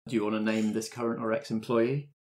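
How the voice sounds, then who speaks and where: A man's voice: lowish voice, little pitch movement, fast talker, darkish tone. A man casually moderating and narrating a tabletop role-playing game as game master.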